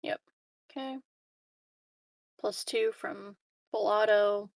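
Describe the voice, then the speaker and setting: A woman's voice: medium pitch, steady tone, takes it slowly, gravelly, dry recording. A woman talking casually as a player in a tabletop roleplaying game, describing her moves.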